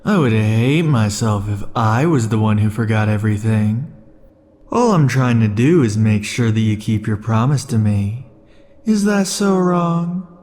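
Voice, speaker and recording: A man's voice: lowish voice, lively intonation. A man doing an obsessive immortal yandere lover. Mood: cold threatening. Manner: slow, soft-spoken, swinging between tender and threatening, with dark chuckles. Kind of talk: ASMR roleplay.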